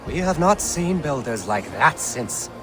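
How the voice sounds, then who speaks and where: A man's voice: medium pitch, very animated, a little slow, over music, poor audio. An actor playing a deluded, proud old man in a video game, rambling and grandiose. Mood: grandly welcoming. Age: older. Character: deluded old Saxon man, proud, fretful, wandering-witted. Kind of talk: video game cutscene acting.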